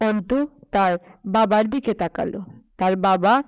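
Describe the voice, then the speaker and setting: A woman's voice: mid-range voice, measured pace, no room sound, studio quality. A woman narrating a scripted children's story.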